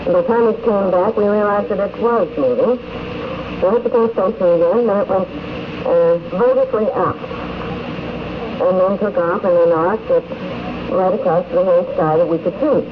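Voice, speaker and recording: A woman speaks earnestly to an interviewer, telling her account in measured, articulate sentences. It is an old radio recording with a dull sound and plain background noise. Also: medium pitch. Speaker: convention attendee being interviewed. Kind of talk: unscripted personal account in interview. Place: convention in the countryside.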